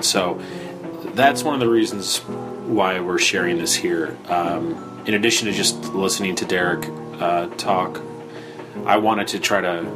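A deep-voiced American man hosts a podcast with an informal spoken introduction. He is subdued and plain-spoken, and plucked guitar plays clearly behind him. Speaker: podcast host.

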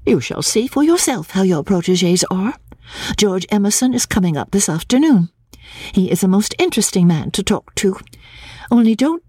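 A woman's voice: medium pitch, big pitch swings, unhurried, vocal fry, warm tone, breathy edge, no echo, clean studio sound, far from the mic, voice pushed up, full character voice. A woman voicing an eager, impulsive young Edwardian woman in an audiobook, earnest and emphatic. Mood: bright, warm.

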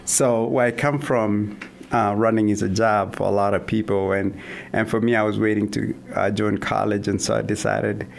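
Interview guest: young Kenyan man, low voice with gravel, halting delivery full of fillers. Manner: hesitant, many fillers and restarts, laughs easily. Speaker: guest, running coach and athlete. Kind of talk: casual interview conversation.

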